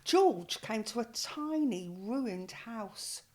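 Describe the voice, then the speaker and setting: Older English woman reading a storybook slowly. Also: a little high. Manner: slow, expressive storytelling. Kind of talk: reading a picture book aloud.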